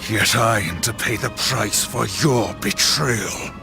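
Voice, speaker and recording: Stern brooding horseman of doom, slow gravelly voice, video game, music behind. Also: fairly low pitch. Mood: defiant. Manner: terse, brooding. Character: grim, stern, defiant male supernatural horseman. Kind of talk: video game hero acting.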